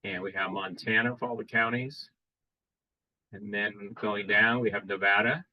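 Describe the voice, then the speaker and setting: A man presenting a live demo at a radio club meeting, explaining casually and pausing often to restart his sentences. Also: lowish voice, steady tone, no room sound, narrow, tinny audio. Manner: casual, halting, many fillers and restarts.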